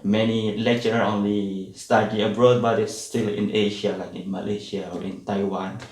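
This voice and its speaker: A man's voice: fairly low pitch, little pitch movement, moderate pace, a little mellow. A man, answering interview questions in a hesitant way with frequent fillers. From Indonesia. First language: Indonesian. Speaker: guest, PhD student and university lecturer. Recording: roomy sound from a distant mic.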